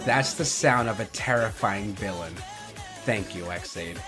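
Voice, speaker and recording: A man's voice: on the low side, a little slow, music in the background. A man giving casual commentary as the host of a countdown video.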